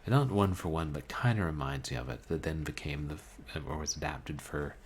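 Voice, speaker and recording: A man streaming a book reading, chatting casually with his chat in a calm, musing, halting way. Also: rumbling low, slight background noise. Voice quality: calming voice. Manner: musing, soft-spoken, halting. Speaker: streamer reading books aloud.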